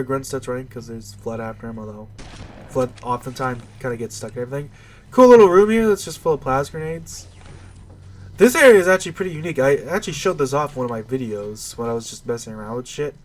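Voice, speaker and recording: A man's voice: mid-range voice, a little hiss or hum. A man with a stuffy, nasal voice giving casual, rambling, unscripted commentary while playing a video game. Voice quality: nasal, congested. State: stuffy nose.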